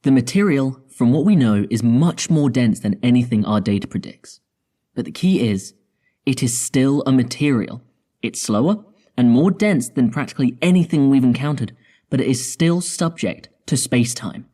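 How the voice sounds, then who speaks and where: A man playing a measured, confident spaceship scientist in an audio drama, explaining in a deliberate way. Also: mid-range voice, animated, dark tone, close to an everyday voice. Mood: calm, resolute. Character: measured, confident male spaceship scientist proposing drastic plans.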